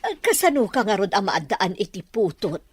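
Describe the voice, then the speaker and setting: A woman's voice: medium pitch, big pitch swings, moderate pace, breathy edge. An actress playing an anxious, pleading elderly mother who longs for a grandchild in a Filipino radio drama.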